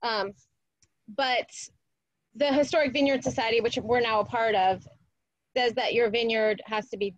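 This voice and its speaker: A woman's voice: fairly high pitch, fairly even intonation, normal speed, pauses often, no room sound. A woman in her thirties, a viticulturist, speaking outdoors in a vineyard over a video call, easygoing and laughing often. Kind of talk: casual chat. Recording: dull outdoor call with noise.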